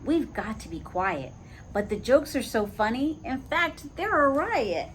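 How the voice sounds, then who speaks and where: Squealing little storybook monkeys, all giddy and too wound up to sleep, as a woman puts them on. The voices sit high and bright and go at an easy, unhurried pace. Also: very animated, a little hiss or hum, exaggerated voice. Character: excitable little monkey children at bedtime.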